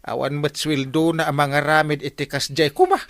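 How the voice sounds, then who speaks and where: A man with an Ilocano accent playing an excitable, scolding village captain in a comedy radio drama. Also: on the high side, moderate pace, no room sound. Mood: exasperated.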